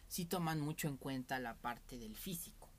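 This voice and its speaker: A man's voice: mid-range voice, animated, normal speed, a little hiss or hum. Candid Mexican man, rambling podcast chat.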